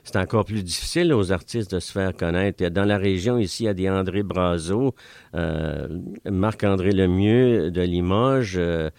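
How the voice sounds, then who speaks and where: A man's voice: sits low, moderate pace, gravelly, a little mellow, no echo. An older Franco-Ontarian man with a Franco-Ontarian accent, chatting as a guest in a radio studio, rambling with many fillers. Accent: Franco-Ontarian French. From Ontario, Canada. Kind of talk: casual interview answers. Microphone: studio mic.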